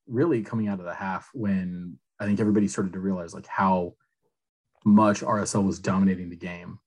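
A man co-hosting a casual sports chat, giving measured, thoughtful takes. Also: lowish voice. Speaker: podcast co-host.

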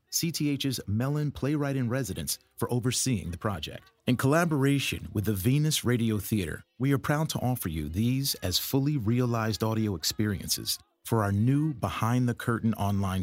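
Man, mid-pitched, formal, measured, scripted introduction, artistic director. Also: dry recording. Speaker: theatre artistic director introducing an audio series.

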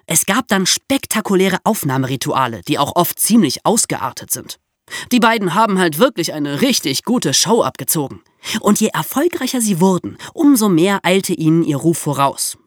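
A woman's voice: deep voice, no echo, clean studio sound. A woman hosting a history podcast, telling the story in an explanatory, emphatic way. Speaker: podcast host.

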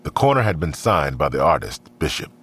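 A man narrating an audio drama in a measured, scripted storytelling style. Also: rumbling low, sing-song, a bit bright, slightly rough.

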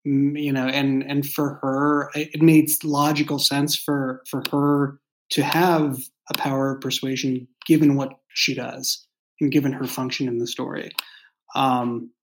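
A man's voice: medium pitch, level tone, a little slow, rough recording. A man speaking as a writer in a casual behind-the-scenes discussion, with a thoughtful, hesitant, rambling way of phrasing things. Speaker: writer and creator of an audio drama.

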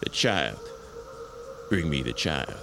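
An otherworldly spirit inhabiting a corpse in an audio drama. The voice is low and drags slowly, cold and deliberate, with music playing behind it. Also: male voice, vocal fry, heavily acted. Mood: cold insistence. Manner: slow, flat, deliberate demands. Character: eerie otherworldly spirit wearing a dead man's body.

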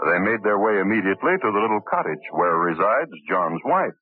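A male narrator telling a story in a measured, storytelling manner for a radio drama. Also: fairly low pitch, animated. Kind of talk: scripted narration. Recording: tinny old radio broadcast with music.

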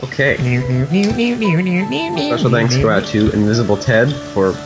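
A man's voice: fairly low pitch, unhurried. A man reading out listener questions and names on a casual podcast. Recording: clear music behind the voice.

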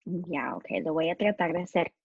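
A woman's voice: lowish voice, moderate pace, husky, no echo, dull audio. A woman in her thirties from New Jersey, chatting relaxedly as a podcast guest and dropping in English words. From United States, New Jersey. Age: 30s. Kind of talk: casual interview chat.

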